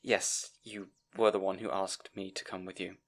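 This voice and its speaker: Man playing a nervous, rambling young scribe, lowish voice. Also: flat delivery, moderate pace. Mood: anxious, rambling. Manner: hesitant, rambling, stammering. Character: nervous, bookish young male fantasy scribe, timid and apologetic. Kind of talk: audio roleplay acting addressed to the listener.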